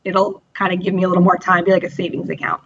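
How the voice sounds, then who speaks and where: Bright, chatty woman in her thirties. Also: on the low side, flat delivery, fast, muffled recording. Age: 30s. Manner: chatty, many fillers and quoted self-talk. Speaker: interview guest. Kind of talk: candid personal story.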